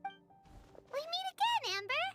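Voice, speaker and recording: A woman voicing a tiny, excitable, blunt fairy companion with a childlike voice in an anime game. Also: very high voice, slow pace, heavily acted. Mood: puzzled. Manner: refers to self in third person, exclaiming. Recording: music behind the voice. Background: music.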